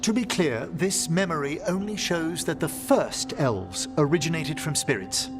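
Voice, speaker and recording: An actor playing a scholarly, fascinated mage in a fantasy video game, speaking formally and precisely. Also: male voice, on the high side, expressive, unhurried, music in the background. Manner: formal, articulate, erudite.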